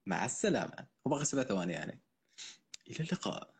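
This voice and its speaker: A man's voice: lowish voice, very animated, rapid-fire, breathy edge. A man hosting a live stream, chatting casually in short, laid-back remarks. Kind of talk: casual chat.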